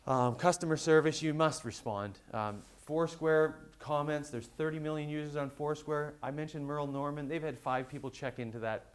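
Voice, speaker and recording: A man's voice: fairly high pitch, steady tone, smooth. Man giving a casual business talk. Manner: conversational, explains with quick examples. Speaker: conference speaker. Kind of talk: business presentation.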